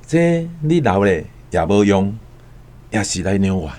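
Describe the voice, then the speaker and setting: An actor playing a coarse, pushy, envious gambler with a Taiwanese accent and a blunt, badgering manner. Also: male voice, lowish voice, big pitch swings, a little slow, a little mellow.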